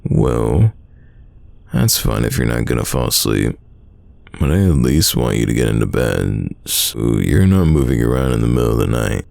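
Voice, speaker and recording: A man acting a teasing, stubborn young friend in an intimate roleplay audio drama, with a very deep, gravelly voice and a casual delivery full of drawn-out sighs. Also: lively intonation, darkish tone, no room sound, exaggerated voice. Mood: tired, casual.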